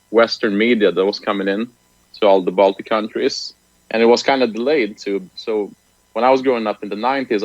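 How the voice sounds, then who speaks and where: A young man in his late twenties from Lithuania talks easily and softly as a musician guest. His voice is a little low. He comes through a dull, slightly muffled line, and the sound is dry. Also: no room sound. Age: late 20s. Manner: relaxed, soft-spoken, laughs often. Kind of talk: casual interview over the phone.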